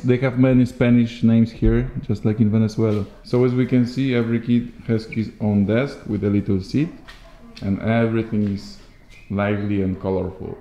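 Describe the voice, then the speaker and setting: A man's voice: on the low side, little pitch movement, normal speed, dark tone, dull audio. A man from Poland, chatting as a travel vlogger while walking through a remote village. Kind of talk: casual walking-tour commentary. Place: outdoors in a hot remote village.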